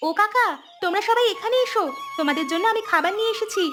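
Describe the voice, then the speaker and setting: A woman's voice: extremely high pitch, fast talker. A woman voicing a sweet, caring young village daughter in a cartoon. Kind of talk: cartoon dialogue. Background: music.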